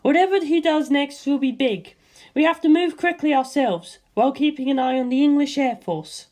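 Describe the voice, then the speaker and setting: A woman voicing a commanding female warrior who lays out tactics in a brisk, analytical way. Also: sits high, a little mellow, pitched above the performer's own voice, natural voice. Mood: urgent.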